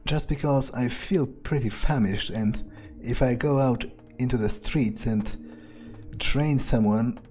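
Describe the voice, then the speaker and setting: Mellow man musing in character. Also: mid-range voice, slow, soft background music. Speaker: gamer playing in character. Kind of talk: in-character gameplay narration, thinking aloud.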